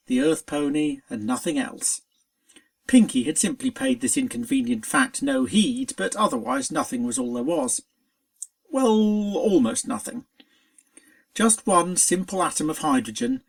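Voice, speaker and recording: Expressive, animated story reader. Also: mid-range voice, creaky. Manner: expressive, dramatic storytelling delivery. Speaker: fan fiction reader. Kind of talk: scripted story narration.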